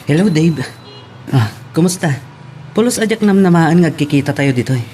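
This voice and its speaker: A man's voice: a little high, lively intonation, brisk, warm tone, a little hiss or hum, close to an everyday voice. A man with an Ilocano accent playing a chatty, easygoing customer in an audio romance drama. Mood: sociable. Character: Ron, chatty, easygoing male restaurant customer. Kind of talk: Filipino radio-style romance drama acting.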